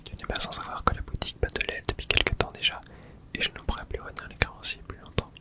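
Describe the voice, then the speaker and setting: Someone whispering throughout an ASMR let's play, narrating the game and reading its texts aloud in a hush. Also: fast. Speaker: host playing and narrating a game.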